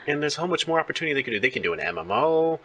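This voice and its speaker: A man's voice: a little high, sing-song, very fast, bright, forceful tone, muffled recording. A man hosting a casual interview, talking like an enthusiastic fan. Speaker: interview show host. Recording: music playing behind the voice.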